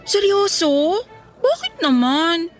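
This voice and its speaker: A woman's voice: extremely high pitch, very animated, slow, faint music behind, full character voice. An actress playing a chatty, teasing woman in her twenties in a radio drama. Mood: curious, teasing. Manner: chatty, teasing, casual conversational. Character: playful teasing young female office mate, age twenty-three. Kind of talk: Tagalog radio drama dialogue.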